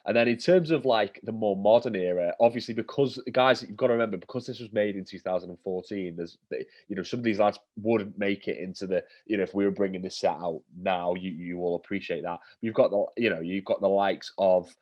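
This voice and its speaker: A man's voice: low-pitched, a little fast, few pauses, darkish tone, lo-fi sound. A man co-hosting a casual chat, bunged up and sniffly with a cold. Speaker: co-host.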